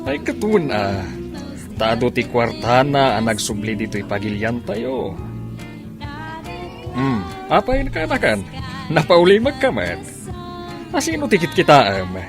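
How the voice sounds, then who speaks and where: A man's voice: medium pitch, huge pitch swings, measured pace, pauses often. A man with an Ilocano Filipino accent playing an easygoing, teasing uncle in a radio drama. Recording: music behind the voice.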